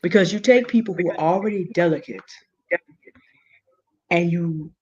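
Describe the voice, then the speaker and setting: A woman with a big, low contralto voice, talking through a point deliberately and earnestly as a panelist in an online discussion. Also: darkish tone, husky, dull audio. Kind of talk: measured explanatory talk.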